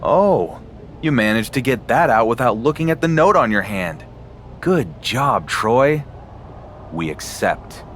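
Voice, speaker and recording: A man's voice: mid-range voice, expressive, slow pace, a bit bright, a little hiss or hum. A man playing a cocky, cool-headed wrestling champion in a game cutscene, with a dry, cutting manner.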